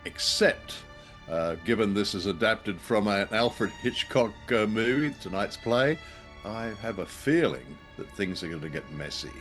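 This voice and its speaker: A man's voice: lowish voice, expressive, a little slow, a bit bright, soft background music. A man hosting a suspense show, giving a scripted introduction in a dryly ominous manner. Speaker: podcast host.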